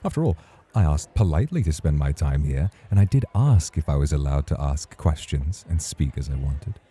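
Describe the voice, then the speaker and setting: A man's voice: rumbling low, expressive, moderate pace, mellow, breathy edge, heavily acted. A man acting in an ASMR roleplay as a suave, teasing club host, formal and flirtatious in his way of talking. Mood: smug, persuasive.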